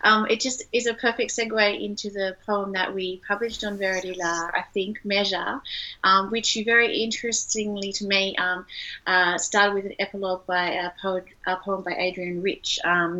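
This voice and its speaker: A woman with a distinctly bright, medium-pitched voice. She interviews in a conversational literary style, enthusiastic and effusive as she shares her responses and puts her questions. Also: poor audio.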